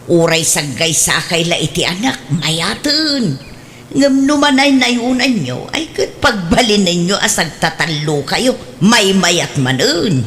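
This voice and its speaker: A woman's voice: on the low side, lively intonation, slow, a bit bright, a little hiss or hum. A woman voicing an older mother in a radio drama who keeps pressing her children, talking in a coaxing, insistent way. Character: older mother, eager and pushy. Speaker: mother longing for grandchildren. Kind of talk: Ilocano radio drama acting.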